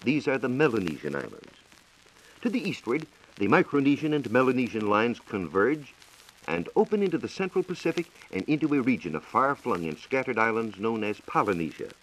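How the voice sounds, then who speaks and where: A man's voice: mid-range voice, big pitch swings, a little fast, slight background noise, low-quality recording. A man with an American accent giving a formal, explanatory scripted science talk on 1940s radio. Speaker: science reporter.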